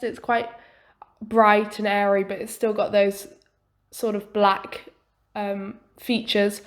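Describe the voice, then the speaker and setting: A young woman talks through her build in a relaxed, chatty way. Her voice is still slightly croaky from an illness. She pauses with small fillers and leans on the word "quite" a lot, the way a home-recorded voice-over does. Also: mid-range voice. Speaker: game building youtuber. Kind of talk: voice-over commentary on a building video.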